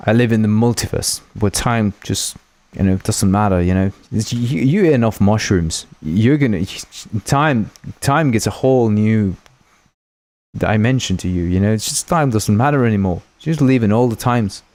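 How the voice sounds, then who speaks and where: A man's voice: fairly low pitch, a little mellow, no echo. A man whose first language is Spanish, hosting a game development livestream in a rambling, jokey way and thanking viewers often. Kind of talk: casual livestream chat.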